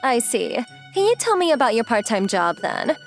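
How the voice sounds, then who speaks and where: A woman's voice: fairly high pitch, big pitch swings, normal speed. A woman playing a confident young model and student in an anime-style dub, teasing, forward and casually inquisitive. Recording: music behind the voice.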